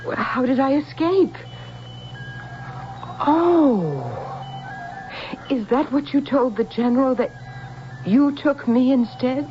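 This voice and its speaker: An actress playing an innocent, emotional young woman in a radio drama, with a halting, wondering delivery. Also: high-pitched, lively intonation. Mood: troubled. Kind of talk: 1970s American radio drama acting. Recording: dull old radio recording with music.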